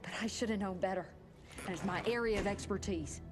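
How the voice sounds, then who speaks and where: A woman's voice: medium pitch, a little fast, over music, natural voice. An actress playing an earnest, remorseful woman scientist in a video game, speaking in a subdued, confessional way. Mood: regretful. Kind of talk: video game cinematic dialogue.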